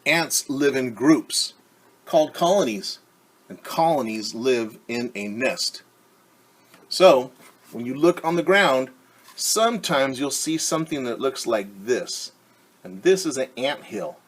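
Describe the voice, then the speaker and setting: A man teaching young children, reading a picture book aloud and gently asking them questions. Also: medium pitch, animated, slow, husky. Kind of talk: children's story time read-aloud.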